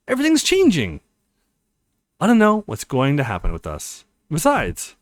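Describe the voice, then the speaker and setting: A man voicing an excitable young college guy who fancies himself an anime hero, in a theatrical, boyish manner for a visual novel. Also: fairly high pitch, no room sound, studio quality, full character voice. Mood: jealous, dramatic.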